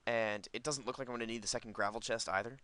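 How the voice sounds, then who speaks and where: A man's voice: mid-range voice, fairly even intonation, quick pace, sharp tone, no echo. A man giving casual let's play commentary, rambling and thinking aloud as host of a gaming video.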